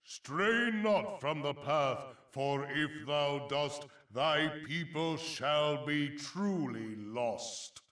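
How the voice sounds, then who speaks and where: A man's voice: a little high, animated, extremely slow, few pauses, a bit bright, exaggerated voice. A man voicing a solemn, ancient forest spirit in a fantasy game, grave and formal in archaic, ceremonial speech. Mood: grave warning. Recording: echoing, distant-sounding voice.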